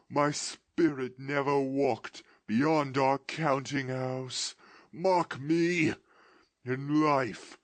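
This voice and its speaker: A man voicing a doomed, mournful male ghost in chains, drawing out his words in a slow lament. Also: mid-range voice, dry recording, voice pushed up, lightly acted.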